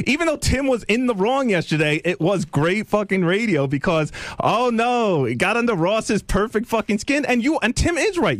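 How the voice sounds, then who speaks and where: A man with a nasal voice arguing loudly and needling a coworker on a radio show. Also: high voice, no echo. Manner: loud, needling and quick. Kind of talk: heated on-air argument.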